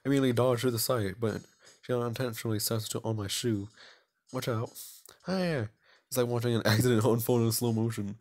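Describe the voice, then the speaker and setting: A man narrates a visual novel in a casual, mid-pitched voice. He reads aloud like a gaming host, stumbling over the text and chatting loosely in a self-mocking way. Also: brisk, a little mellow.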